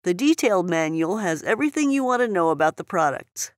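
A woman's voice: fairly low pitch, animated, fairly quick, no echo. Woman narrating instructions, dry close sound. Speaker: product tutorial narrator.

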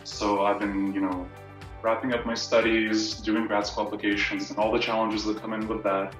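Casting: young man, slightly low voice, casual student presenter on a roomy video call with music behind. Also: level tone, normal speed, a bit bright. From Canada. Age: young adult. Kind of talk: casual personal talk to camera. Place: indoor room at home, on video call. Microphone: camera mic at a distance. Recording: roomy echo, distant mic, music behind.

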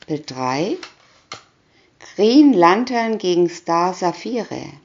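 A woman's voice: fairly low pitch, very animated, very slow, darkish tone, lo-fi sound. A woman doing a scripted reading of a children's picture booklet, announcing short captions one by one. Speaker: reader.

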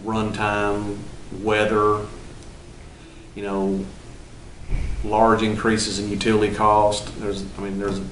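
A middle-aged man, a business partner, explaining in a measured, halting, plain-spoken way in an indoor room, recorded on a camera mic from some distance. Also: fairly low pitch, monotone, a bit bright, slight background noise. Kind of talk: interview explanation. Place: indoor room with red backdrop. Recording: roomy echo, from the mic.

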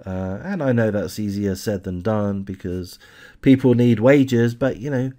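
A man's voice: fairly low pitch, measured pace, warm tone. A man hosting a true crime channel, casually reading a news article aloud with lots of hesitant fillers and restarts, and giving blunt opinions.

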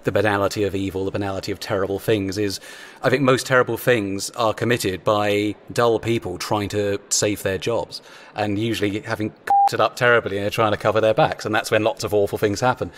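A man with a fairly low voice talks at a rapid clip. He is chatty and animated, drifting through asides as he tells his stories in casual conversation. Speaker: audio drama writer.

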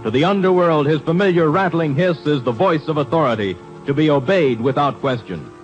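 Male announcer, American, 1950s radio crime serial: dramatic narration, higher voice, music underneath. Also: expressive, fairly quick, muffled recording. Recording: old radio broadcast recording.

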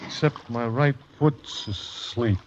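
An actor playing a delirious, naive American sailor who rambles and stammers in an old radio drama. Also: male voice, mid-range voice, slow pace, lightly acted. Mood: trusting, rambling. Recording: muffled, noisy old radio recording.

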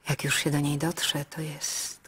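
An actress voicing a lyrical, coy female answering machine in a radio play, speaking in a dreamy, breathy half-whisper. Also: low-pitched, completely flat delivery, measured pace, fairly bright tone, full character voice. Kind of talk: radio drama acting.

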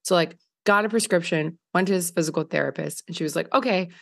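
A woman in her thirties, hoarse from a recent illness, telling a story on a podcast in a casual, filler-heavy way, laughing often. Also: on the low side, quick pace, fairly bright tone. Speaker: podcast co-host. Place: home living room by windows. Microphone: large studio mic on video call.

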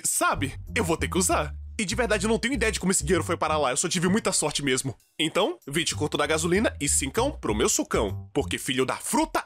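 A man with a Brazilian accent narrating a comedic animated story in a theatrical, exaggerated way. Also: husky. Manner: theatrical, exaggerated, swinging from low mutters to shrill outbursts.

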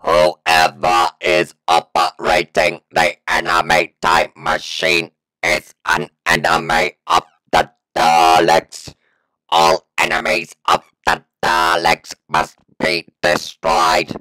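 A man's voice: low voice, monotone, takes it slowly, bright, forceful tone, exaggerated voice, poor audio. A man voicing a ruthless, domineering alien war machine in staccato bursts, through a robotic ring-modulation effect for a sci-fi voice reel. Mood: furious, ranting.

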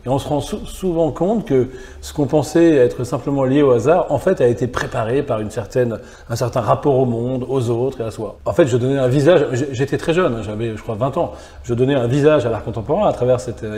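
Thoughtful middle-aged philosopher, mellow voice. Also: male voice, mid-range voice, brisk. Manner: thoughtful, builds ideas in long clauses. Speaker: philosopher and author, guest. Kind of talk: reflective conversational interview. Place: small gallery salon, indoors, on a sofa.

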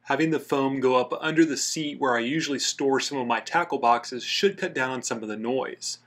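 A man's voice: medium pitch, normal speed, fairly bright tone. A man narrating a scripted how-to voice-over as the host of a DIY outdoors channel.

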